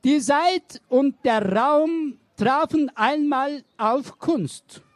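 A woman slowly reciting poems in a deliberate, halting way. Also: sits high, no room sound. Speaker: poetry reader. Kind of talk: slow poetry reading.

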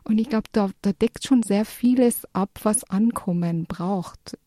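A woman's voice: mid-range voice, measured pace, dark tone, no echo, clean studio sound. A woman, a counselor and radio guest, speaking thoughtfully with hesitations and restarts. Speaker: guest, counselor at a support center.

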